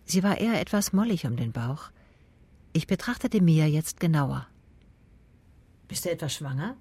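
A female private detective in a radio drama, cool and observant. Her voice sits low and husky, and she tells the case in terse, matter-of-fact phrases. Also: lively intonation, moderate pace, mellow, no echo, full character voice. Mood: probing, matter-of-fact. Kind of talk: radio play first-person narration and dialogue.

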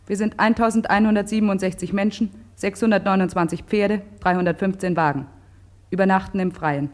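Woman reading diary bits in a radio play, terse, measured, middle pitch. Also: steady tone, a little mellow. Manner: terse, matter-of-fact, measured. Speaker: diary reader. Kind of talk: scripted radio drama narration, diary reading.

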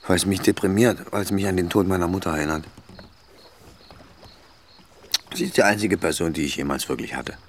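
A man's voice: low voice, fast talker, a little hiss or hum, close to an everyday voice. An actor in a German radio drama playing a lonely, melancholy man haunted by loss, speaking hesitantly and yielding easily. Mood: dejected, hesitant.

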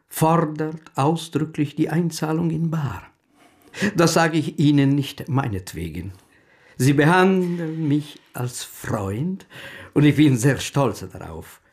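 An actor playing a suave, obliging and sly foreign straw man in a German radio drama, deliberate and flattering. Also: fairly high pitch, heavily acted.

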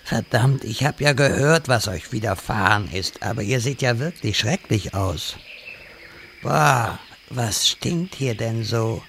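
A man's voice: mid-range voice, animated, gravelly, a bit bright, a little hiss or hum, heavily acted. A man playing a pompous, theatrical old wizard in a comedy audio drama. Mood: grand, then puzzled. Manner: slow, theatrical delivery.

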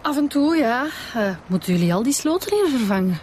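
A woman's voice: on the high side, sing-song, fairly quick, a little mellow, slight background noise. A woman with a Flemish accent playing a casually inquisitive detective in a radio drama. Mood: curious.

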